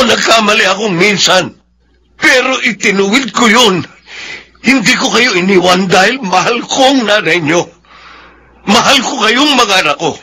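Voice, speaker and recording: A man's voice: sits high, pushed and bright, breathy edge, exaggerated voice. A Filipino man playing a defensive, repentant father of grown children, earnest and pleading, in a radio drama. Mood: pained, earnest.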